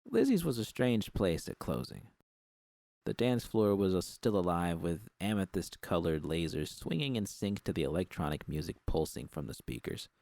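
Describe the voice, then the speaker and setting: Male audiobook narrator, very low pitch, gravelly creak, scripted first-person fiction. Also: animated, moderate pace, a little mellow, no echo.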